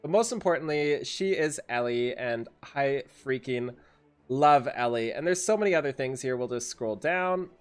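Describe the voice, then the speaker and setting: A young man hosting a casual game update video, excitable and given to hyperbole and repetition, speaking at a desk mic. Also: medium pitch, moderate pace, clear, resonant tone. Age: young adult. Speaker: gaming video host and streamer. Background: music.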